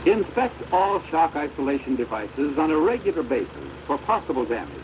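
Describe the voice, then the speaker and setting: An American man giving formal, measured instructional narration for a training film, on a deteriorated old projector soundtrack. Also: a little high, big pitch swings, background noise.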